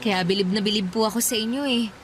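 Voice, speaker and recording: An actress playing a devoted young daughter, earnest and caring in a radio drama. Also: female voice, medium pitch, quick pace, over music, close to an everyday voice. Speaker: voice actor.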